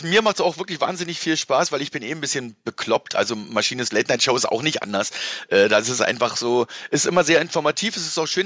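A man's voice: medium pitch, a bit bright, no room sound, muffled recording. A middle-aged man chatting casually as an interview guest, explaining things in a colloquial, rambling way. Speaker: podcast guest, musician and entertainer.

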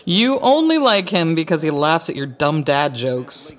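A grown daughter in an audio drama, young and earnest. Her intonation is lively and conversational, caring with a thread of exasperation. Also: low voice, a little slow, heavily acted. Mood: exasperated. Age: young adult. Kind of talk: naturalistic audio drama dialogue.

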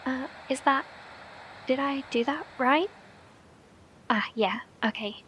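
A woman playing an anxious, caring radio operator in a horror audio drama, with a breathy voice and a tentative, concerned delivery. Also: sits high, slow, a bit bright, a little hiss or hum. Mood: hesitant, afraid. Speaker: actor.

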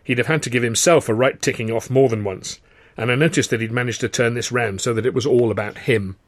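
A man's voice: medium pitch, fast, no room sound. Wry male storyteller, dry sound. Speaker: author reading own novel. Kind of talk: scripted first-person audiobook narration.

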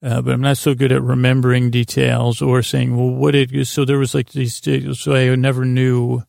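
A man hosting a sleep podcast, rambling in a halting, meandering way with lulling, soothing tones. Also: mid-range voice, completely flat delivery, darkish tone, dry recording, clean studio sound. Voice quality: creaky, gravelly. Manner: meandering, hesitant, halting, trailing off into tangents. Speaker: podcast host.

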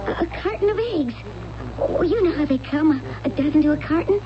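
Dreamy, fragile young wife, high voice, wistful delivery, vintage radio mystery with hubbub behind. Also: female voice, animated, a little fast, a crowd in the background. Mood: earnest, fragile. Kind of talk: 1970s radio drama acting. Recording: dull 1970s radio broadcast with background hubbub.

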